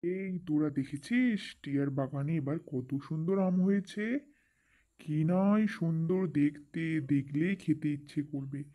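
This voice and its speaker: A man's voice: fairly high pitch, moderate pace, mellow, lightly acted. A man playing a sly, carefree bird in a children's cartoon, talking in a coaxing, breezy way. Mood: scheming, eager. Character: sly, carefree male bird plotting mischief. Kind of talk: children's cartoon story dialogue.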